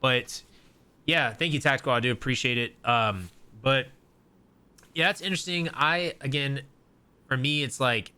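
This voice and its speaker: A young man hosting a podcast, chatting excitably in a rambling way with many restarts. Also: mid-range voice, moderate pace, long pauses, clean tone. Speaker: podcast host. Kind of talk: casual chat.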